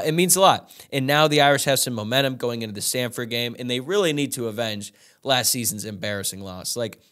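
A man's voice: mid-range voice, fairly bright tone. A young man in his twenties hosting a solo podcast, giving casual postgame sports analysis with frequent fillers into a studio microphone in a small room. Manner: casual, conversational, many "uh" and "like" fillers. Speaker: podcast host. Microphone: studio microphone in front of him.

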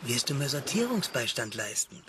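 An actor playing a shaken survivor in a radio drama, wry and given to solemn, philosophical musing. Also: male voice, mid-range voice, big pitch swings, normal speed, noisy background, close to an everyday voice. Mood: wry, intense. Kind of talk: German radio drama dialogue.

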